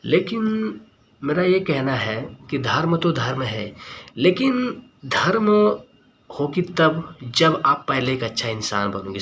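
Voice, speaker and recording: A man's voice: fairly high pitch, bright, forceful tone, slight background noise. A man giving an earnest opinion monologue to viewers as a vlogger, speaking emphatically and repeating key words and phrases.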